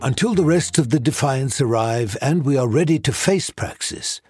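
An actor playing a grave sorcerer mentor in a fantasy audio drama, explaining things earnestly and urgently. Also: male voice, medium pitch, lively intonation, normal speed, darkish tone, husky, faint music behind.

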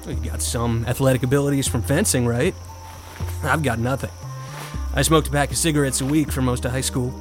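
A man's voice: mid-range voice, fairly even intonation, brisk, faint music behind, natural voice. An actor playing a privileged, wry young male college student who narrates and talks in an audio drama, casual and dry. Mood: casual, coaxing. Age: young adult.

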